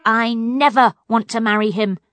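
A woman's voice: medium pitch, fairly even intonation, slow, dry recording, faint music behind. A woman voicing a petulant, dramatic young princess with a whiny, indignant manner in a storybook. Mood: defiant, furious.

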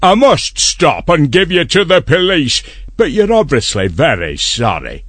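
A man voicing a kind, steady male train driver in a friendly, calm, sing-song way. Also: on the high side, a little slow, husky, no room sound, pitched above the performer's own voice, full character voice. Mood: kindly. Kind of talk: storybook character voice.

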